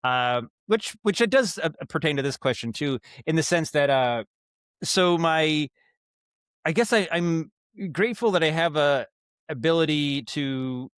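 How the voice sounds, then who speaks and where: A man speaking as a guest in a casual interview, thinking aloud haltingly and circling back on his thoughts. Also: fairly high pitch, expressive, fairly bright tone, clear, resonant tone, no room sound, studio quality. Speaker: podcast guest, writer and director of audio dramas.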